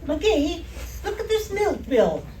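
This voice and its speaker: A woman playing a sharp-tongued, sarcastic housewife in an old-time radio comedy on stage. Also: very high-pitched, very animated, slow pace, heavily acted. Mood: sarcastic exasperation. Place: live theater stage performance. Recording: roomy, distant, noisy stage recording.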